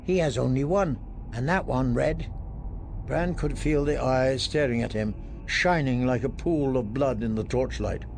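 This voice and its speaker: A man giving a dramatic, deliberate narration of fantasy fiction. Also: mid-range voice, creaky, a little mellow, faint music behind. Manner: slow, deliberate storytelling.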